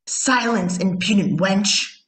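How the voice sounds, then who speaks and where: Woman playing an echoey, slow, imperious frost goddess. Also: medium pitch, lightly acted. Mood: imperious, menacing. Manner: commanding, haughty, slow and deliberate. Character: imperious evil frost goddess, owl-headed tyrant. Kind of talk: animated fantasy table read. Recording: echoey room, distant from mic.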